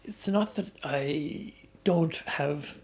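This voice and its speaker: An older man with an Irish accent, talking about his life at an unhurried pace, often pausing to restart his sentences. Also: on the high side, darkish tone. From Ireland. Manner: hesitant, with many restarts and fillers. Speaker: interviewee. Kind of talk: reflective conversational storytelling.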